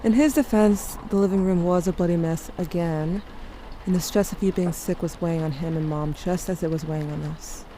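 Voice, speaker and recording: A woman's voice: lowish voice, fairly even intonation, a little fast, mellow, close to an everyday voice. A woman playing a grieving, sardonic teenage girl who narrates her story in a confiding audio drama style. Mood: weary, tense. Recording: clearly noisy, ambient sound behind.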